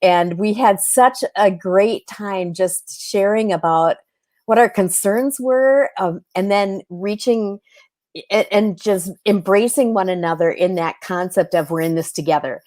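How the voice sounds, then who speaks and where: A woman's voice: mid-range voice, measured pace, darkish tone, no echo. A woman speaking as an interview guest in a reflective, conversational style, with an earnest, thoughtful way of explaining things.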